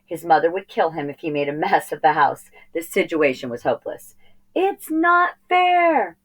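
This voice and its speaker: Low-voiced woman reading a storybook. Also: brisk, slightly rough. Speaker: storybook reader. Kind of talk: expressive children's read-aloud narration.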